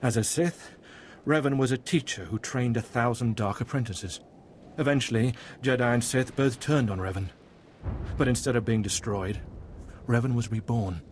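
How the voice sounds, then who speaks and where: A man playing a devout, guarded cult mentor in a video game, speaking in a formal, reverent, measured way. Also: medium pitch, a little hiss or hum, natural voice. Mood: solemn, reverent. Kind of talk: scripted video game voice acting.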